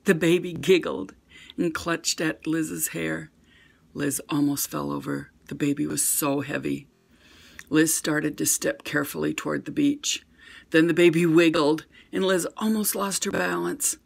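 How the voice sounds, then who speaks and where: An older woman reading a children's picture book aloud at home with gentle, expressive storytelling. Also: sits low, a little slow, gravelly, husky.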